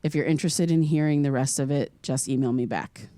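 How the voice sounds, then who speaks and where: Actress as an earnest young American podcaster, closely miked. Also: female voice, low-pitched, steady tone, a little fast, warm tone, no room sound, close to an everyday voice. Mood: casual, candid. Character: earnest, curious young podcaster haunted by recurring nightmares. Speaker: podcast host character. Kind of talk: audio drama in the form of a confessional podcast.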